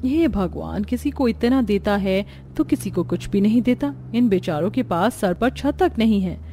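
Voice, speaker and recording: A woman voicing a kindly wealthy matron in a cartoon, measured and earnest. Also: mid-range voice, expressive, warm tone, slight background noise, close to an everyday voice. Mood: pitying. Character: kindly wealthy matron, thoughtful prospective mother-in-law.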